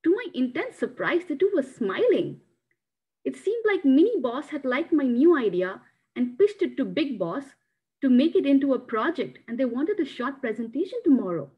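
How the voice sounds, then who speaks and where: A woman with a fairly high voice speaks as an amateur storyteller. The sound is dull and low-fidelity, as heard over a video call. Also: sing-song, normal speed, husky. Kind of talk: reading a written first-person story aloud.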